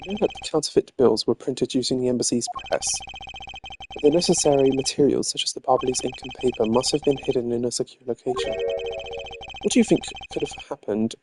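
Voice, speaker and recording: A man voicing a composed, analytical prosecutor in a video game, speaking in a deliberate, formal manner. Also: medium pitch, background noise, lightly acted. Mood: serious, reasoning. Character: composed, analytical male prosecutor investigating a murder. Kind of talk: voiced video game dialogue read live. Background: game music.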